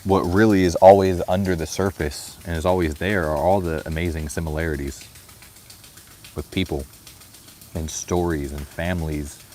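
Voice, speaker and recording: Deep-voiced reflective man. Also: fairly even intonation, warm tone, a little hiss or hum. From United States. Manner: thoughtful, unhurried, often says "you know". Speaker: vlogger. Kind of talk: reflective personal vlog talk.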